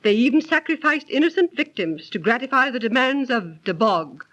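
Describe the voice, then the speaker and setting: An actress playing a proper, precise, genteel aunt who scolds gently and tells tales, 1930s radio drama style. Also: female voice, a little high, expressive, normal speed. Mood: storytelling. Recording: dull, lo-fi 1930s radio recording.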